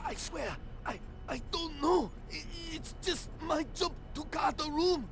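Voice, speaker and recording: An actor playing a frightened, pleading guard in a video game, stammering and halting. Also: male voice, extremely high pitch, expressive, a bit bright, soft background music, exaggerated voice. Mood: terrified, pleading. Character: frightened, pleading male guard held at knifepoint.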